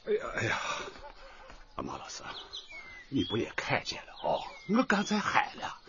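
Flustered old village principal, swooping voice. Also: male voice, medium pitch, huge pitch swings, moderate pace. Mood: helpless, pleading. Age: around 60. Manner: stammering, sighing, full of exclamations. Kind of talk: radio drama acting. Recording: dull lo-fi recording, faint background noise.

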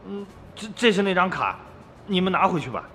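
A naive, stubborn young villager in a radio drama. The voice is very high and swoops around, hesitant and pleading. Long reverb and music surround it. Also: male voice, sing-song, fairly quick, a bit bright, heavily acted. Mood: nervous, stubborn. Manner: pleading, hesitant, repeating himself. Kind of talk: Chinese radio drama acting.

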